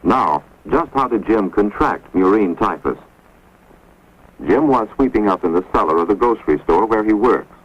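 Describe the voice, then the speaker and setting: A man giving measured, grave scripted narration for an instructional film, recorded on a dull, lo-fi 1950s film soundtrack. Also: lowish voice, expressive. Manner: measured, grave, authoritative delivery.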